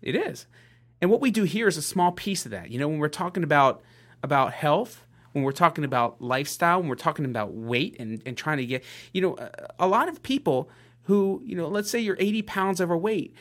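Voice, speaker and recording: Earnest man with a lively, swooping voice. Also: on the high side. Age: 30s. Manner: earnest, halting, many fillers and restarts. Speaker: podcast co-host. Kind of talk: casual motivational podcast chat.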